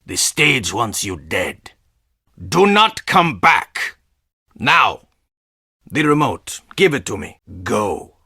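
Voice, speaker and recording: An actor playing a menacing, world-weary man in a video game, terse and deadpan. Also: medium pitch, vocal fry, husky, no echo, studio quality, exaggerated voice. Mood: cold, guarded, then angry, commanding. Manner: terse, slow, ominous, deadpan. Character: menacing, world-weary male stagehand guarding a theatrical underworld. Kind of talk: video game character dialogue.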